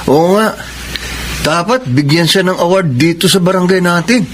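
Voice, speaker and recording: Chatty high-voiced young Filipino man played by an actor. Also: normal speed, music in the background, lightly acted. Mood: amused, admiring. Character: curious, friendly young male neighbourhood friend. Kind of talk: radio drama acting.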